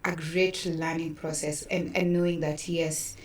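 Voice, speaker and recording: Kenyan lady guest telling stories in an interview, deep-ish voice, bit far from mic, roomy. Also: level tone, a little slow. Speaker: theatre producer, interview guest. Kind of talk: conversational storytelling in an interview. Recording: roomy echo, distant microphone.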